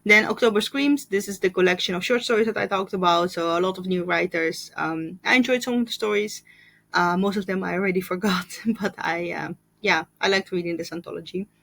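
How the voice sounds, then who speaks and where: A woman in her thirties with a fairly low voice chats through her year of reading. She talks thoughtfully, stopping often with small fillers and a quick laugh. The sound is close and dry, with no room echo. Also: vocal fry, fairly bright tone. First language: Russian. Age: 30s. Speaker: book vlogger. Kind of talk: casual reading wrap-up chat.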